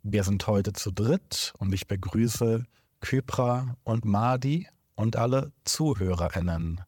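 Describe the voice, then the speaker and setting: A man with a lowish voice hosting a podcast interview in a room indoors. He talks in a measured, formal manner, welcoming and introducing his guest. The recording is dry. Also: darkish tone, no room sound. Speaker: podcast host.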